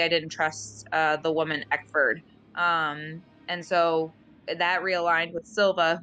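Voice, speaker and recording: Casting: woman, low register, casual game player talking through her character haltingly, slight background noise. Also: a bit bright. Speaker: tabletop roleplaying game player.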